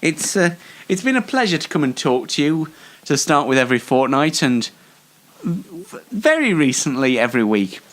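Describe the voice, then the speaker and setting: A man's voice: fairly high pitch, wildly swooping pitch, normal speed, a bit bright. A man hosting a casual comedy show, rambling in a self-deprecating, mock-formal way. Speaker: show host.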